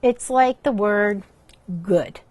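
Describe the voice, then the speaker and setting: Female narrator, mid-range pitch, scripted educational read for young listeners, dry recording. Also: slow, darkish tone, no echo.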